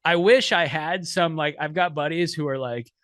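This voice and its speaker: A male podcast host with a fairly high voice, talking in loose, meandering sentences packed with "you know" and "like" and frequent restarts. The sound is close and dry, with no room around it. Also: moderate pace, fairly bright tone, no room sound. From United States. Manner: rambling, many fillers and restarts. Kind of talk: casual podcast conversation.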